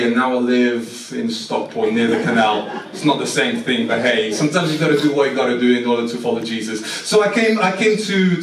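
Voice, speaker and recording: A young man with a Portuguese accent, giving a chatty, self-deprecating talk to a church congregation, with frequent restarts. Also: mid-range voice. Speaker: mission team speaker. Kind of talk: informal talk at a church service. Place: church hall. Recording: echoey room, mic far off.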